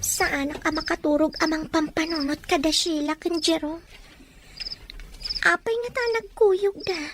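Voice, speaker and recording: A woman's voice: extremely high pitch, measured pace, over music. A woman playing a lovestruck, stubborn young woman in an audio drama. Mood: wistful. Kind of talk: Ilocano audio drama acting.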